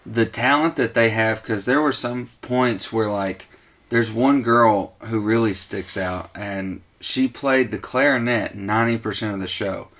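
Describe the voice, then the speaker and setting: Gravelly, bright-voiced Southern man. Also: lowish voice, a little slow. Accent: Southern American. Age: 30s. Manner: upbeat, joking, laughs often. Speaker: podcast co-host. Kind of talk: casual chat. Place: home man cave.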